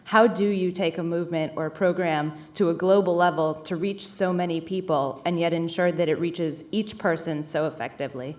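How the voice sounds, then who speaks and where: Low-voiced young woman asking a question. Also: fairly even intonation, normal speed, few pauses, a little mellow. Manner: thoughtful, articulate. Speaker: graduate student. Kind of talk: audience question.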